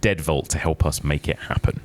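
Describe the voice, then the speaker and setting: Deep-voiced, enthusiastic male podcast host. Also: a little fast, heavy background noise. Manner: enthusiastic, agreeable. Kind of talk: casual interview chat.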